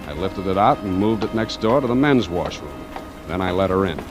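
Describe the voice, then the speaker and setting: An American actor playing a cynical hardboiled private detective in 1940s radio noir, dry, sardonic and terse. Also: male voice, expressive, a little fast. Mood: wry narration. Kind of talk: 1940s radio noir acting and first-person narration. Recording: old radio recording with music and rumbling noise.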